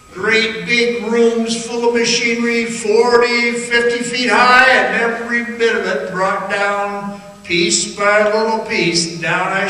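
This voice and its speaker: Male actor as haunted ex-mine guide, radio drama monologue. High-pitched, forceful, folksy and ominous, recorded far off in an echoing hall. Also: heavily acted. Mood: folksy, wry. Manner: folksy, rambling, ominous, halting with pauses. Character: haunted middle-aged former copper-mine guide recounting a dark tale. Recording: roomy echoing hall, distant mic, faint noise.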